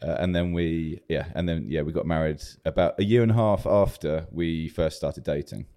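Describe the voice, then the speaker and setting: Man from south england, low voice, mellow dark tone, relaxed joking storyteller. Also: normal speed, clean tone. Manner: easygoing, jokey storyteller. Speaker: church leader. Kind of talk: interview conversation.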